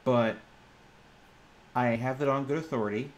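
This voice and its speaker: A man's voice: medium pitch, moderate pace. A man improvising in character during a tabletop roleplaying game, speaking hesitantly and often repeating or restarting his phrases.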